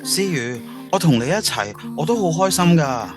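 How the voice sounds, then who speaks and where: Conflicted young lover, played by a man, Hong Kong Cantonese, higher-pitched and very expressive, earnest radio-drama soul-searching in a reverberant hall. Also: normal speed, music in the background, lightly acted. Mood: hesitant, troubled. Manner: introspective, earnest, emotional. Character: conflicted, remorseful young man torn in love. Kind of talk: audio drama acting.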